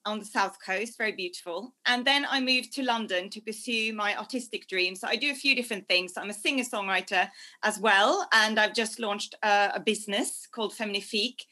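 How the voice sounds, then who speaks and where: A woman's voice: medium pitch, brisk, bright, forceful tone. A woman from Norway, answering interview questions conversationally as a guest life coach. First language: Norwegian.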